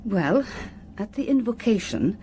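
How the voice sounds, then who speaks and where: A woman's voice: mid-range voice, big pitch swings, a little slow, warm tone, a little hiss or hum. An actress playing a probing policewoman questioning a suspect in a radio drama. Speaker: police interviewer.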